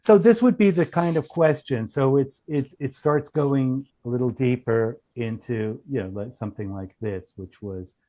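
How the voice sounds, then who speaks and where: A man giving a casual software demonstration in a halting way, thinking aloud as he goes. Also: medium pitch, dull audio. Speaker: company founder demonstrating software. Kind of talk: casual product demo.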